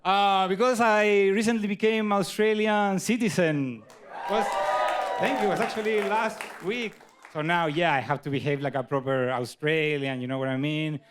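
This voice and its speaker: A man's voice: sits high, fairly even intonation, moderate pace, some chatter behind, a little hiss or hum. A man from Chile with a Chilean Spanish accent and Spanish as his first language, performing stand-up comedy. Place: comedy club stage.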